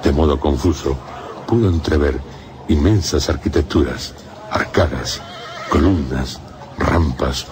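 A man narrating a gothic horror radio drama in a solemn, measured way. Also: extremely low pitch, noisy background. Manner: solemn, measured storytelling in short phrases. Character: grave male narrator of a gothic horror tale. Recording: music under the voice.

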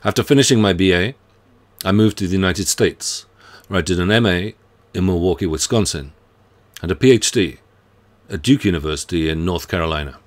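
A middle-aged man, a university professor reading a measured, earnest self-introduction to students from his home study. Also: sits low. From United Kingdom. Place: home study lined with bookshelves.

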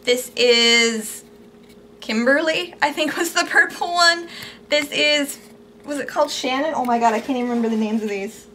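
A young woman with a high, bright voice talks eagerly and chattily about things she bought, filmed close to the camera in a small room at home. Her voice has gone a little squeaky from overuse, and a faint hum of room tone sits behind her. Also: moderate pace. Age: young adult. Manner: chatty, upbeat, gushing about products. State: losing her voice, slightly squeaky. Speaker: beauty vlogger. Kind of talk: casual haul video.

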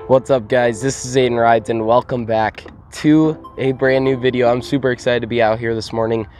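A young American man with a hoarse, worn-out voice from a cold, chatting casually for a fishing vlog outdoors on a boat. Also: mid-range voice, fairly even intonation, moderate pace, a little mellow, soft background music. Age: young adult. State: hoarse from a cold, losing his voice. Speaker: fishing vlogger. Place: outdoors on a small boat on a lake.

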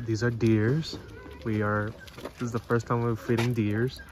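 A man's voice: medium pitch, level tone, a little slow, noisy background. A man joining in with playful, teasing side comments while his wife films on her phone outdoors at a petting farm, standing away from the camera. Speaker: vlogger's husband.

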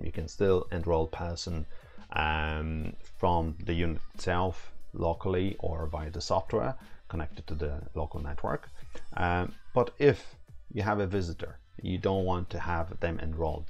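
A man's voice: deep voice, a little mellow, muffled recording. A man in his thirties giving a casual, informal, step-by-step tutorial as a product demonstrator. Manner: informal, hands-on, step-by-step explaining. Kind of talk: casual tutorial, explaining setup steps.

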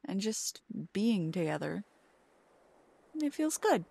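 A young woman doing a soft, playful, teasing ASMR girlfriend roleplay. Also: mid-range voice, sing-song, slow pace, gravelly, slightly rough.

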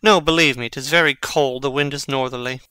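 An actor playing a witty, sardonic young prince in a Shakespearean audio drama, speaking in an articulate, theatrical, mocking way. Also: male voice, medium pitch, steady tone, brisk, bright, forceful tone, clean tone, no echo, close to an everyday voice. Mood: dryly mocking.

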